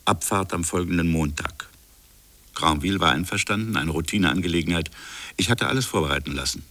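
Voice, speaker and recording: Radio drama colonial official: low, brooding, insistent narrator of his own inquiry, close dry sound. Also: fairly quick, fairly bright tone, no echo. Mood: brooding, troubled. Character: colonial official recounting his stubborn private investigation. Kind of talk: radio drama dialogue and first-person narration.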